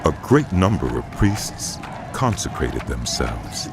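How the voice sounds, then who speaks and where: A man narrating scripture from a script in a measured, expressive storytelling manner. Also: rumbling low, vocal fry. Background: music under the voice.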